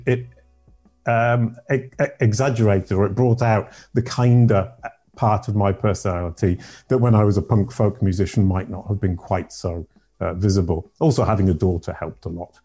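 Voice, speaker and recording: A middle-aged man from Yorkshire with a deep voice, telling his life story as a podcast guest in a rambling, self-correcting way. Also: dark tone, breathy edge. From Yorkshire, England. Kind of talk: casual interview storytelling.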